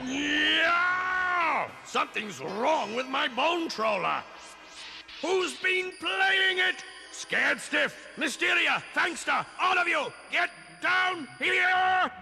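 Gravelly, shrieking skeletal cartoon overlord. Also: male voice, extremely high pitch, lively intonation, full character voice. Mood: furious. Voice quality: gravelly, sharp. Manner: slow, menacing, barking commands. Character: bellowing skeletal robotic ghost overlord, furious and domineering. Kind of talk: cartoon villain acting. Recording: long hall reverb, faint music.

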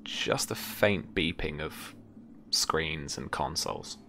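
A man's voice: rumbling low, lively intonation, takes it slowly, creaky, bright, forceful tone, a little hiss or hum. A young man working as game master, casually giving rulings and narrating the scene in an easygoing, informal way. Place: indoor room at home, video call.

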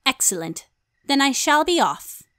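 Woman playing haughty ancient female pony, wry, imperious, fairly high voice, animated pitch, storybook drama. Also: unhurried, slight background noise, exaggerated voice. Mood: calm, stern. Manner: formal, haughty. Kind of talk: dramatised fan fiction reading. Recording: music behind the voice.

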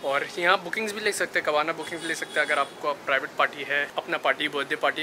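A man in his thirties talks straight to the viewer in an upbeat, chatty way, his voice sitting a little high. He is recorded on a camera mic held at arm's length outdoors. Street and outdoor noise comes through clearly. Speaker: food vlogger. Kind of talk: casual vlog walkthrough. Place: outdoor rooftop terrace and parked car. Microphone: handheld camera mic at arm's length. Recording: noisy outdoor camera audio.

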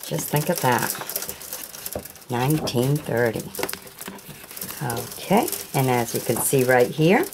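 A woman showing an auction find at a table, reading its printed text aloud slowly and deliberately, with small slips. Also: sits low, a little mellow, a little hiss or hum. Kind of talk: casual show-and-tell, reading a print aloud. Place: indoors at a table.

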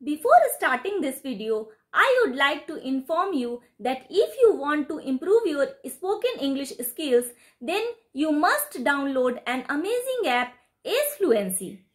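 This is a middle-aged Indian woman whose first language is Hindi. Her voice sits very high. She speaks earnest learner's English, as if presenting to viewers. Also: big pitch swings, unhurried, few pauses. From West Bengal, India. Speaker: English learner and video host.

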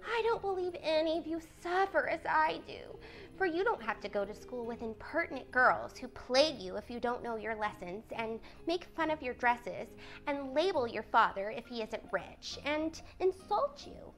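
Storybook youngest sister woman acting: vain prissy schoolgirl, animated pitch, music underneath. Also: normal speed. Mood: indignant. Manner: prissy, decided.

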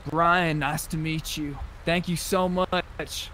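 Man, fairly high voice, casual gamer roleplay chat, faint vehicle noise behind. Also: fairly even intonation, slow, pushed and bright.

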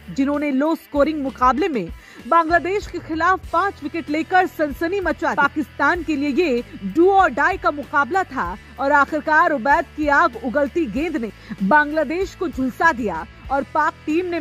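A woman's voice: high voice, fairly bright tone. A woman reading scripted sports narration with a dramatic, poetic build-up.

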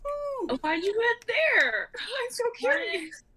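A woman's voice: very high voice, very animated, takes it slowly, bright, forceful tone, a little hiss or hum. A woman in her twenties chatting casually with friends on a livestream video call. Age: 20s. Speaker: regular performer on the stream. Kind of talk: casual chat.

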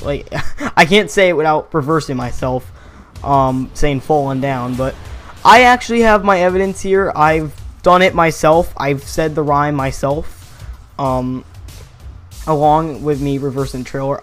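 A young man talks through a gaming theory in a loose, rambling way, correcting himself and chuckling now and then. His voice sits a little high for a man. Faint music plays underneath. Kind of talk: casual voice-over commentary. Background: faint background music.

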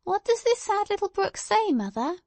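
A woman voicing a lively, curious, prattling little girl in a storybook, airy and full of questions and exclamations. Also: extremely high pitch, moderate pace, no echo, pitched above the performer's own voice, exaggerated voice. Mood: eager, curious.

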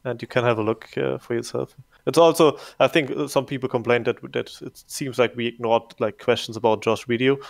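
A man's voice: mid-range voice, fairly even intonation, a little fast, vocal fry, few pauses. A middle-aged man on a casual livestream Q&A, answering viewer questions as community manager, repeating words and chuckling often. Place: home room, indoors. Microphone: headset.

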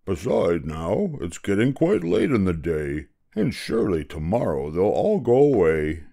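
A man's voice: sits low, wildly swooping pitch, unhurried, vocal fry, mellow, full character voice. A man voicing a big-hearted, put-upon moose in a children's storybook, polite and meek. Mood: grudging, patient.

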